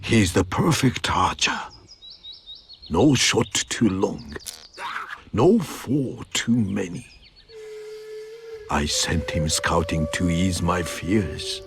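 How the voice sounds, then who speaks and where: A man voicing an ancient ninja master who narrates a game's story in a theatrical storybook manner, wise, fond and wistful, with measured dramatic pauses. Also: deep voice, animated, vocal fry, slight background noise, full character voice. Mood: fond, reflective. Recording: music behind the voice. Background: music.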